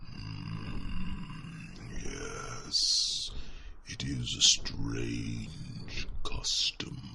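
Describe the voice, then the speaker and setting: Very deep, slow, gravelly dragon. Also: male voice, fairly even intonation, whispered, exaggerated voice. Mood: musing, gently teasing. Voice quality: very deep gravelly rumble, often breathy. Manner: slow, drawn-out, formal phrasing with pensive hums. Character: ancient, wise dragon, fondly teasing companion. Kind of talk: ASMR fantasy roleplay. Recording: clear background noise, ambient sound.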